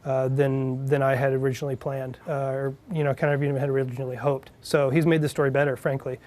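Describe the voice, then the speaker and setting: A man talks as a writer in a behind-the-scenes interview. His pitch is average and stays very even. He explains things thoughtfully, pausing often with hesitant filler sounds. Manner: hesitant, thoughtful, frequent uhs.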